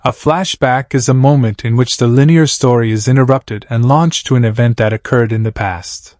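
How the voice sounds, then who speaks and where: A man's voice: medium pitch, steady tone, normal speed, darkish tone, dry recording, clean studio sound. A man giving a scripted reading of an educational passage for exam practice. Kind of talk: scripted read-aloud narration.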